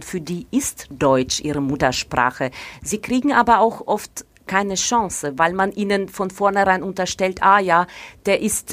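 Middle-aged Ukrainian-accented woman, lowish voice, close dry sound, measured and reflective. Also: no echo. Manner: thoughtful, measured, frequent hesitations. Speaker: guest, newspaper editor. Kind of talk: reflective interview talk.

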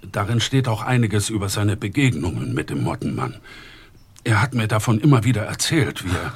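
A man's voice: fairly low pitch, big pitch swings, fairly quick, slightly rough. An actor playing a haunted, worried man in an audio drama, hesitant and easily worked up. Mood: subdued, hesitant. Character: haunted, agitated grown man, a worried client. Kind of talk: German audio drama acting.

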